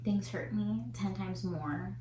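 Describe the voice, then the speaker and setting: A woman's voice: mid-range voice, takes it slowly, background noise. Candid young woman in an echoey room. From United States, family from Colombia. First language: Spanish. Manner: candid, swearing, earnest. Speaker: co-host. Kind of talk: casual chat over a meal.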